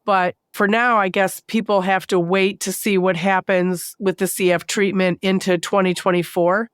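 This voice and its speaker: A woman's voice: medium pitch, little pitch movement, normal speed, clear, resonant tone, no echo, clean studio sound. A woman hosting a podcast interview, sounding congested from a bad cold and asking earnest, concerned questions. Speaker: podcast host.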